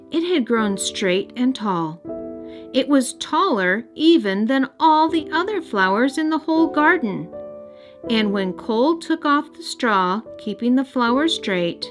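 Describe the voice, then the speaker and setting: A woman reading a story aloud expressively for young children. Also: medium pitch. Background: music.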